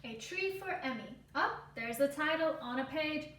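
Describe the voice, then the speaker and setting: A woman teacher reading a children's story aloud deliberately, with simple explanatory asides. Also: high voice, animated, a bit bright. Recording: roomy echo, distant mic, faint noise.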